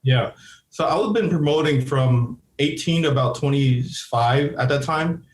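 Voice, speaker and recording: A man's voice: medium pitch, a little slow. A man in his thirties from Seattle, a podcast guest telling a long story in a rambling, casual way with many restarts and fillers. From Seattle, USA. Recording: roomy echo.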